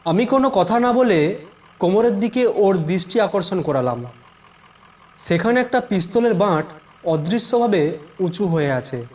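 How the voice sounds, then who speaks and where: A man telling a scripted first-person suspense story in a measured, dramatic way, with music behind him. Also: high voice, lively intonation, a little mellow.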